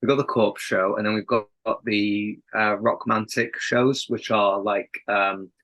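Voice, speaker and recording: A man's voice: lowish voice, level tone, unhurried, a bit bright, poor audio. A young man with a faint Sheffield accent, a band's lead singer chatting as a guest in a casual podcast interview, rambling and laughing often. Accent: Sheffield, softened. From England.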